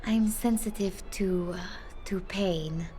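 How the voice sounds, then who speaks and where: An actress playing a haunted, fragile village woman in a video game, halting and somber, often dropping to a breathy near-whisper. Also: medium pitch, warm tone, soft background music, lightly acted. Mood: uneasy, apologetic. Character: haunted, fragile young village woman who senses the dead. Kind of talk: video game character dialogue.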